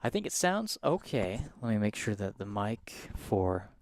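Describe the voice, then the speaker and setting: A soft-spoken man, teaching a live piano lesson and explaining each step in a friendly way. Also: fairly low pitch, sing-song, unhurried, no room sound.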